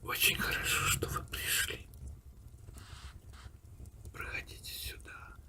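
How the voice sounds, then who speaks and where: A man narrating a story in a breathy, hushed ASMR whisper, deliberate and unhurried, with pauses between phrases. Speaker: audiobook reader and host of an ASMR channel.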